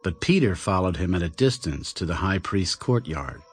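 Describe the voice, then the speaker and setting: A man's voice: sits low, very animated, normal speed, slightly rough. A man narrating a dramatized audio Bible in a scripted storytelling style. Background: music.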